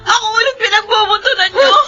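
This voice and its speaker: An anguished young granddaughter, defiant yet fragile. Her voice is very high and bright, with emotional radio-drama delivery. The recording is slightly dull, with music playing beneath. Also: fast talker. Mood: tearful, angry. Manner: emotional, pleading, tearful delivery. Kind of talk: Filipino radio drama acting. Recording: dull lo-fi broadcast, music underneath.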